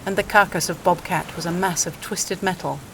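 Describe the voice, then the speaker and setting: A woman's voice: fairly low pitch, steady tone, brisk, creaky, a bit bright, a little hiss or hum, poor audio. A woman reading scripted dramatic narration for an audio play.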